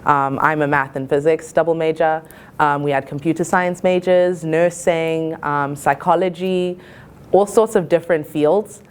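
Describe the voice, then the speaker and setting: Casting: female college student, early twenties, low register, earnest and reflective delivery. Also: steady tone, unhurried, clean tone. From Zimbabwe. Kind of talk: reflective interview-style talk.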